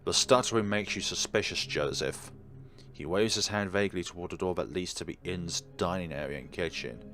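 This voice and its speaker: A man's voice: low voice, brisk, a bit bright, a little hiss or hum. A man reading a visual novel aloud as a let's player, dropping in wry, casual commentary. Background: game music under the voice.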